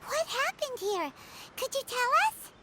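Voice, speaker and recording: A woman voicing a tiny, chirpy fairy companion in a video game, with a high, squeaky, sing-song voice. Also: big pitch swings, unhurried, very noisy, exaggerated voice. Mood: curious. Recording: music loud behind.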